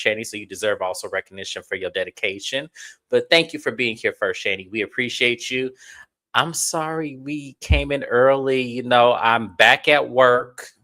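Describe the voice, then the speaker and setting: A man's voice: mid-range voice, moderate pace. A man hosting a live stream, chatting casually to viewers as he recaps a reality show episode. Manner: chatty, animated, addresses viewers directly. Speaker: host.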